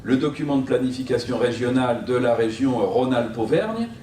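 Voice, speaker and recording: A man answering as a planning expert at a seminar, explaining off the cuff with a hesitant, halting delivery. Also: medium pitch, lively intonation, mellow. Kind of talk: spontaneous public explanation. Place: seminar room, recorded from a distance. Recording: roomy, distant mic, faint noise.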